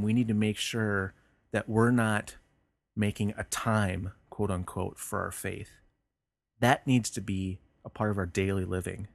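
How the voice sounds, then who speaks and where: Earnest low-voiced man musing on faith. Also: creaky, no room sound. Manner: earnest, halting, many restarts and fillers. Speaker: podcast host. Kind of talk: reflective faith talk.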